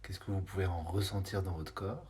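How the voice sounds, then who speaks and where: A man leads a guided body scan meditation. His voice sits low. He speaks in short, unhurried phrases, leaving space between them and sometimes hesitating before the next one. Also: steady tone, a little mellow.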